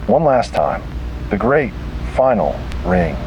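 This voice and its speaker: Casting: male horror narrator, deep register, grave and measured delivery in short deliberate phrases, over a noisy recording. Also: very animated, a little mellow. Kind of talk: scripted first-person horror story narration.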